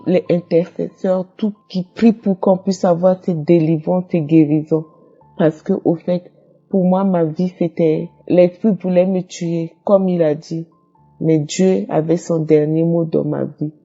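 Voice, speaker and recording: A woman speaks slowly with a husky, gravelly and very dark voice. She gives a fervent testimony, repeating herself and breaking into praise. The recording is dull and lo-fi, with faint music underneath. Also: on the low side, fairly even intonation. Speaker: caller giving a testimony. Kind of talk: emotional spoken testimony.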